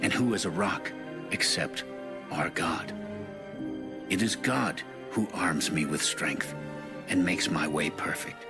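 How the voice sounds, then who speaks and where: Deep, slow man reading scripture over music. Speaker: Bible narrator.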